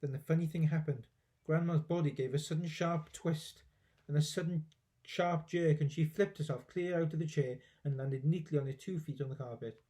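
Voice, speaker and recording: A man reading a children's story aloud in an expressive storybook narration style. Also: on the high side, normal speed.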